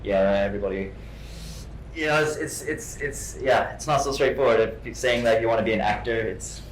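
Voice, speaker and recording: A man's voice: mid-range voice, a little fast. A young man from Hong Kong, a guest actor, answering interview questions casually and often repeating words and restarting his sentences. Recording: roomy sound with faint noise.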